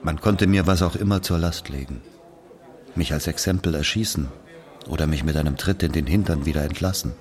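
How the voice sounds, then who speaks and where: Very deep-voiced, brooding aging narrator. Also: male voice, brisk, darkish tone. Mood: bitter, musing. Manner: brooding, reflective, confiding. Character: aging Algerian man bitterly recounting his past to a listener. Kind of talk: dramatic first-person narration in German.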